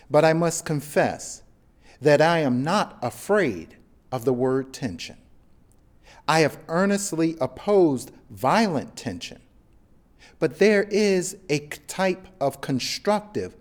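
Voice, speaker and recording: A man reading a historic letter aloud in measured, deliberate phrases. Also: mid-range voice, a little mellow.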